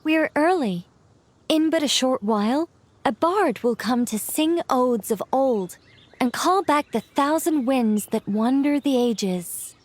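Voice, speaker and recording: A woman's voice: high-pitched, expressive, slow, heavily acted. An actress playing a whimsical, composed female mage in a video game, with a leisurely, musing and playfully evasive manner. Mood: serene, cryptic. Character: whimsical, composed female mage, once an angel, young-sounding. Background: background music.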